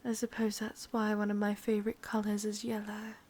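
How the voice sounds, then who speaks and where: A woman with an English accent playing an ancient, possessive, sadistic witch in a whispered ASMR roleplay, slow, breathy and softly menacing. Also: mid-range voice, completely flat delivery, lightly acted. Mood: wistful, intimate.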